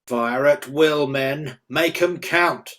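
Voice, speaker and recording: A man's voice: fairly high pitch, slow, a bit bright. A man playing a cocky young soldier who commands a fight, in a screenplay table read. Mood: shouting orders. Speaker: script reader.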